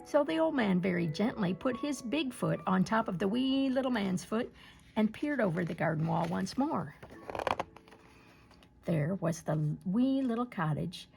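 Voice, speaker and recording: Very creaky woman reading a storybook. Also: on the high side. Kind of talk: expressive picture-book read-aloud.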